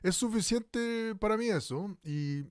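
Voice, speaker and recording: A man plays a solemn, formal Chilean radio host in a radio drama. His voice sits fairly high and is recorded dry and close, with no room sound. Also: animated, unhurried, clear, resonant tone, no echo. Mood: earnest. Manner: solemn, formal, speaks of hope. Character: earnest male radio host in a post-pandemic future. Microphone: studio microphone.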